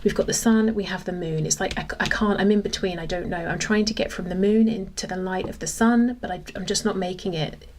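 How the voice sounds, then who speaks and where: A woman's voice: medium pitch, steady tone, fairly quick, few pauses, darkish tone, slight background noise. A woman giving a casual tarot reading, thinking aloud in a conversational way. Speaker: tarot reader.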